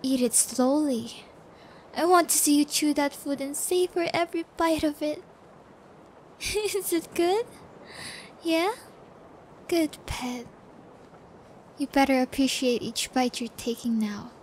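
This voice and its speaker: Actress as a cruel young woman captor, high voice, slow taunting delivery, gloating, audio roleplay, noisy background. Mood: gloating control. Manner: taunting, drawn-out, giggly menace. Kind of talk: ASMR audio roleplay, intimate dramatic acting.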